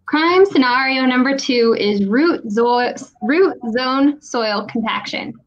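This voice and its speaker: A woman's voice: high-pitched, lively intonation, takes it slowly, lo-fi sound. A woman hosting a live online Q&A, upbeat, reading out viewer questions and moving the talk along. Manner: upbeat, reads out viewer questions, laughs easily. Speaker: host, moderator.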